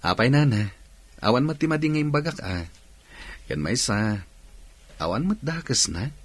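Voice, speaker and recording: A man's voice: mid-range voice, lively intonation, moderate pace, no echo, close to an everyday voice. A man acting a good-natured, teasing young man in an Ilocano radio drama.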